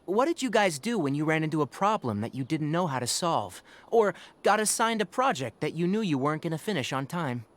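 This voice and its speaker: Earnest young tutor, higher lively voice. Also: male voice, brisk, hardly any pauses. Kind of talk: video game dialogue acting. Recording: game music behind the voice.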